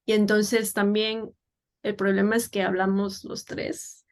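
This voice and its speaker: A woman's voice: mid-range voice, level tone, a little slow. A woman from Peru, a children's English teacher, talking in a casual interview with many fillers and restarts. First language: Spanish.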